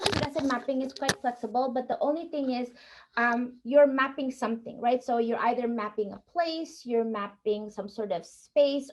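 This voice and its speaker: A woman, answering audience questions in a webinar in an earnest, halting way, with many fillers and restarts. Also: a little high, a little mellow, low-quality recording. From Indonesia. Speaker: guest speaker.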